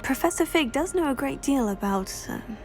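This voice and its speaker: A composed teenage schoolgirl in a video game. Her voice sits fairly high, measured and courteous, with an inquisitive note. Soft music runs behind it. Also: expressive. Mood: polite, curious. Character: composed, polite, curious teenage schoolgirl, new student. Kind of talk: video game dialogue acting.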